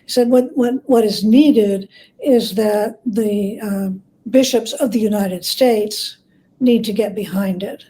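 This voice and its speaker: A woman interviewed as an expert guest, explaining things conversationally in a measured way with pauses. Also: mid-range voice, warm tone, slight background noise. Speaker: interview guest, author and scholar.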